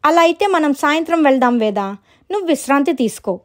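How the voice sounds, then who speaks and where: Woman acting a high, friendly young neighbor. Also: lively intonation, fairly quick.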